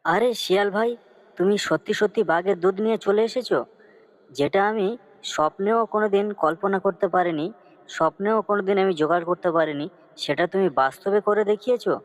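A man's voice: sits high, brisk, no echo, close to an everyday voice. A man playing a humble woodcutter father in a cartoon story, earnest and plaintive. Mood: amazed, grateful.

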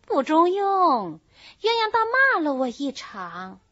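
A woman's voice: high voice, very animated, takes it slowly, fairly bright tone, no room sound, pitched above the performer's own voice, exaggerated voice. Woman playing a complaining servant woman. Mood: aggrieved. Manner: whining, indignant. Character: aggrieved middle-aged servant woman, complaining. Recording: dull lo-fi recording.

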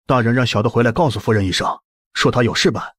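A man's voice: medium pitch, fast, breathy edge, no echo, studio quality, lightly acted. A man playing an anxious, deferential servant in an audiobook drama, with a respectful way of talking. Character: deferential, anxious male servant reporting to his mistress. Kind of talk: audiobook drama acting in Mandarin.

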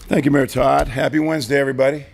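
An older man, a nonprofit head, speaking at a press conference in a folksy, joking way, his voice raspy and hoarse. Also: mid-range voice, little pitch movement, very fast, a little hiss or hum. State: hoarse from yelling the night before. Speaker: nonprofit CEO. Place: large indoor hall. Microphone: podium mic.

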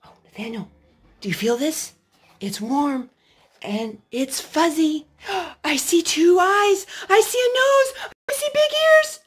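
A woman speaking as a mother leading storytime at home for a small child, in an expressive, sing-song, encouraging way. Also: high-pitched, takes it slowly. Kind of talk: child-directed storytelling and chat. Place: carpeted room and wood-floored room at home.

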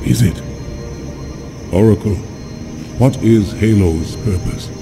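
A man's voice: lowish voice, takes it slowly. A man voicing a noble, stern alien warrior in a video game, with grave, formal diction. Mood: grave questioning. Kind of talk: video game cutscene acting. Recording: long reverb, music behind.

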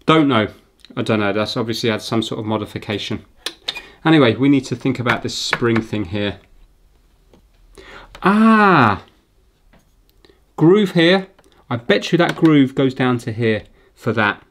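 A man's voice: mid-range voice, lively intonation, unhurried, long pauses, background noise. Middle-aged man thinking aloud. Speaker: repair video host. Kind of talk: casual hands-on commentary while working.

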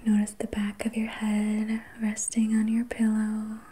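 A woman whispering softly and breathily as an ASMR host, guiding relaxation in a soothing, gentle, unhurried manner. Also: medium pitch, monotone, husky. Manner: soothing, slow, gentle personal attention. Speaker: ASMR host and reiki practitioner.

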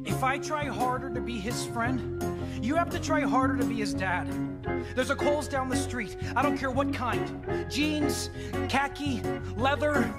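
Blunt awkward teen boy in a musical, high voice, loud music behind. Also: measured pace. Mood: firm, bossy. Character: awkward but blunt teenage boy, son's former friend. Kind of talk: stage musical dialogue. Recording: echoey theatre recording with loud music.

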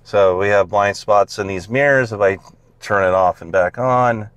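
A man's voice: lowish voice, normal speed, fairly bright tone, clear, resonant tone, dry recording. A man, a car salesman, casually walking through a car's features on a dealer lot and explaining them step by step with plenty of fillers.

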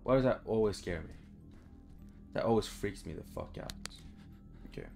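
A man's voice: fairly low pitch, wildly swooping pitch, normal speed, gravelly, darkish tone, soft background music. A young man giving casual, informal let's play commentary, thinking aloud and swearing as he plays. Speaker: gaming video host. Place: small room indoors at home.

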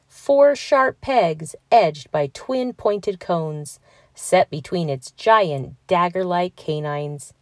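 A woman narrating a children's picture book aloud in a scripted read-aloud style, with clearly enunciated words and expressive emphasis. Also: fairly low pitch, dry recording, clean studio sound.

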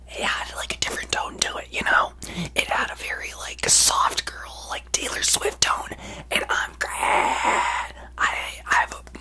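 A young man voicing a brash, self-absorbed young female pop star in a breathy, gushing ASMR whisper close to the microphone. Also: pushed and bright. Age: young adult. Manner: gushing, exaggerated, boastful, rambling. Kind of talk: ASMR roleplay, celebrity parody.

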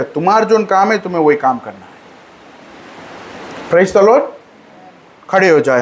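A man with a high voice preaches at an unhurried, slow pace. He exhorts through repetition and praise refrains, mixing in English phrases as he teaches and leads prayer. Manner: mixes English phrases into speech. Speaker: preacher leading a church service. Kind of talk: sermon with congregational prayer.

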